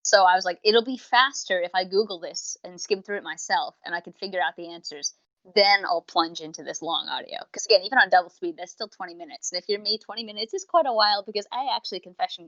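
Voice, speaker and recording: A woman's voice: mid-range voice, quick pace, fairly bright tone, no echo, muffled recording. A woman co-hosting a review podcast, chatting casually and effusively, rambling off into tangents. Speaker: podcast co-host reviewing audio dramas. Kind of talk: casual unscripted review chat.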